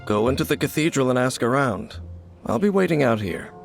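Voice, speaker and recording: A man voicing a calm, guarded, cynical male wanderer in a video game, measured and wry. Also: medium pitch, gravelly. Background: game music.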